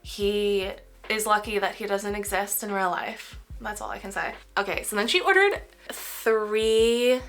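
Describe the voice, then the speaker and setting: Bright-voiced woman, a bit nasal. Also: mid-range voice, unhurried, faint music behind. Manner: rambling, heavy use of "like". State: tired from a cold. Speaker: crafting vlogger. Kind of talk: casual chat while packing orders.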